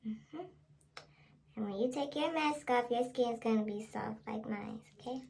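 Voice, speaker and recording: A woman's voice: a little high, measured pace, creaky, a little mellow. A young woman vlogger talking casually in a playful, slangy way, as in an ASMR role play.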